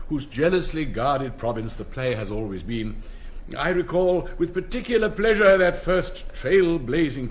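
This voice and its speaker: A man's voice: medium pitch, a bit bright. An older man with an English accent playing a pompous, wistful headmaster in a rambling, donnish manner in a stage comedy. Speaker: retiring school headmaster. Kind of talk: stage comedy speech-making.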